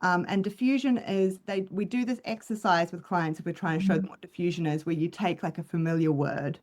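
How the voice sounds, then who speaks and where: A woman with a New Zealand accent softened by Australian tones, a psychologist talking through ideas in a relaxed podcast chat on a video call, with many ums and restarts. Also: fairly low pitch, fairly quick. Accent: New Zealand English with Australian overlay. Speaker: clinical psychologist guest. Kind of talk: casual podcast conversation.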